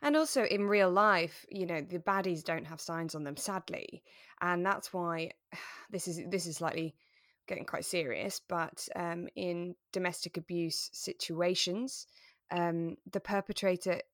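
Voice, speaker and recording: A woman chatting casually as co-host of a relaxed podcast. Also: on the low side, a little slow, no room sound, studio quality. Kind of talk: relaxed casual chat about a radio drama.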